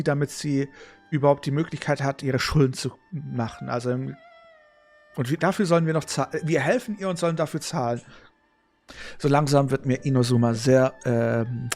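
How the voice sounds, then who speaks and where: A man's voice: fairly high pitch, darkish tone. A man with a German accent giving casual, dry, grumbling let's play commentary as a gaming streamer. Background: game music.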